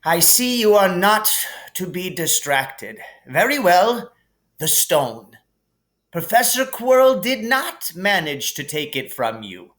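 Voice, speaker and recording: A man voicing a kindly, whimsical elderly wizard headmaster in a storybook reading, with calm, gently formal phrasing. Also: high-pitched, slow, pitched above the performer's own voice, exaggerated voice. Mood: earnest. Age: older. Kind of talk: audiobook character reading.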